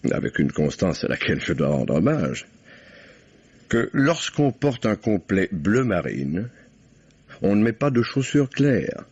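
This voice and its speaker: Casting: urbane, mocking young gentleman, extremely low husky voice, vintage radio mystery. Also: heavily acted. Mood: politely mocking. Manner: urbane, hesitant, drawling politeness with wry irony. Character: suave, sly, mocking young English gentleman suspect. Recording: dull, muffled old radio recording.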